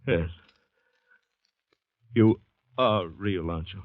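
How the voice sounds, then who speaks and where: A man's voice: low voice, slow pace, no room sound. An actor playing a terrified shell-shocked man, narrating his flight in an intense 1940s radio drama style. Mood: panicked, frantic. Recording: muffled vintage 1940s radio recording.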